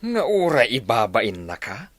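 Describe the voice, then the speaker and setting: A man's voice: mid-range voice, sing-song, measured pace, fairly bright tone, dry recording. A man with an Ilocano accent playing a stern, unforgiving village father in a radio drama, grumbling as he talks. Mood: bitter.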